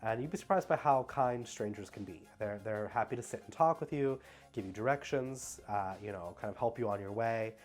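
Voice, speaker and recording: A young man with a stuffy nose, talking casually at home in a rambling, halting way full of fillers. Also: lowish voice, a little mellow, faint music behind. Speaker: travel vlogger. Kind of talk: casual vlog Q&A.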